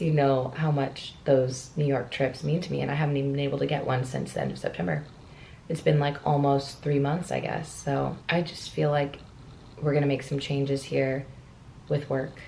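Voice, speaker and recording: A young woman vlogger with a naturally low, raspy voice, slightly hoarse from a cold, talking candidly and a bit rambling to the camera. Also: a little hiss or hum, poor audio. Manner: candid, rambling, soft-spoken. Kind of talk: casual talk to camera. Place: hotel room with rain and traffic outside.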